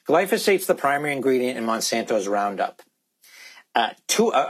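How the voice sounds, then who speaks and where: A man speaking as a guest expert in a candid interview, halting and repeating words as he insistently makes his points. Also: medium pitch. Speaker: guest expert on organic food.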